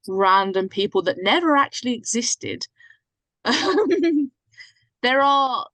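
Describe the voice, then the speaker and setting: A woman's voice: fairly low pitch, bright, forceful tone, no echo, low-quality recording. A woman chatting casually as a guest writer and actress, explaining things informally. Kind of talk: casual chat.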